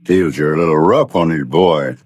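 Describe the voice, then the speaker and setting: An actor playing a nervous, corrupt frontier judge who stammers and tries to placate, in a 1950s radio western. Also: male voice, extremely low pitch, moderate pace, darkish tone, husky, no room sound, clean studio sound. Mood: uneasy. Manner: hesitant, stammering, ingratiating.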